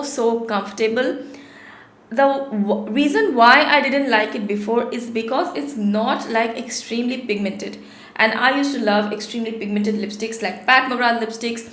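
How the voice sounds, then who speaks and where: A woman in her thirties with a sore throat talks through a product review in a friendly, chatty way, often repeating words for emphasis. Her voice sits a little high. The small room around her gives it a noticeable echo. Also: a bit bright. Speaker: beauty vlogger. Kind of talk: casual product review to camera. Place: small home studio room.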